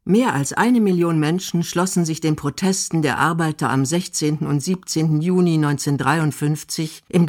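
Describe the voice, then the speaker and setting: A woman's voice: fairly low pitch, darkish tone. A woman reading scripted documentary narration as a radio narrator.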